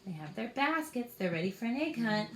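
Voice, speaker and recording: A woman's voice: a little high, big pitch swings, brisk, slight background noise. A woman reading a story aloud expressively, as if to young children. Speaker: storytime reader. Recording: roomy echo.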